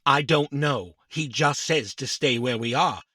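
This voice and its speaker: A woman's voice: rumbling low, unhurried, pushed and bright, dry recording, clean studio sound, full character voice. A woman playing a small, childlike boy robot, petulant, easily scared and haughty, in a children's audio drama. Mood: earnest.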